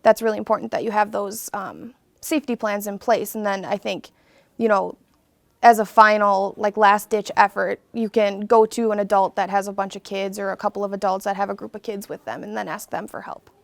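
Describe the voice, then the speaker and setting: A woman's voice: medium pitch, fairly even intonation, fairly quick, gravelly, no echo. A young adult woman, a police officer, answering interview questions casually with fillers.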